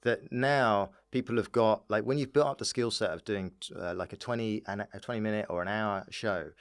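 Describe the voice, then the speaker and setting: A man with a fairly low voice chats as a comedy podcast guest, close and dry on the recording. He is animated and rambling, restarting his thoughts often as he explains. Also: smooth, dry recording. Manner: animated, rambling with repeated false starts and rapid agreeing interjections. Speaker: stand-up comedian and club founder, podcast guest. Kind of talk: casual podcast chat.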